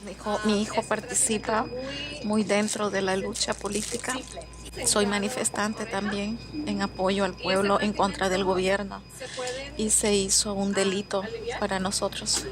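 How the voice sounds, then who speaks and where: A woman with a Nicaraguan Spanish accent gives calm, steady testimony. Her pitch barely moves, staying flat and even. Loud crowd chatter fills the space behind her. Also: moderate pace. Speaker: asylum seeker. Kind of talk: documentary interview.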